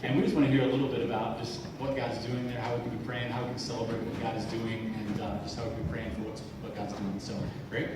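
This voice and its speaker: A man's voice: medium pitch, level tone, quick pace. A male pastor welcoming guests in church, hesitant with fillers. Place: church sanctuary. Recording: echoey room from far off with noise.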